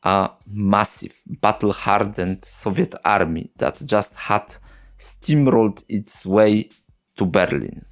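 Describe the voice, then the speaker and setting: A man hosting a history video, English not his first language, narrating with wry, sarcastic asides. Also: fairly low pitch. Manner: slow, wry, sarcastic, with short chuckles. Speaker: history channel host.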